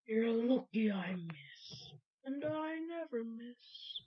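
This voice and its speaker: A woman's voice: on the high side, sing-song, slow pace, muffled recording, slight background noise. A woman acting an angry, menacing armed homeowner in an amateur radio drama. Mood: angry, threatening. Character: angry armed female homeowner confronting an intruder.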